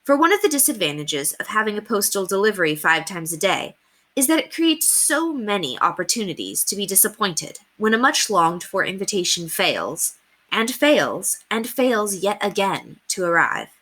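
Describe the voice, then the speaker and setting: A woman reading a children's story aloud as a teacher would, with deliberate emphasis on key words. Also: medium pitch, sing-song, a bit bright. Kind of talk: expressive storybook read-aloud narration.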